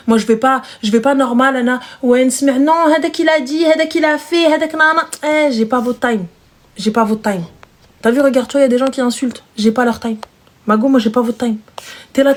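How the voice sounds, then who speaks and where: High-voiced woman talking emphatically. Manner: emphatic, repeats phrases, slangy street French. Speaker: live stream host; single mother. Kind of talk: casual live chat.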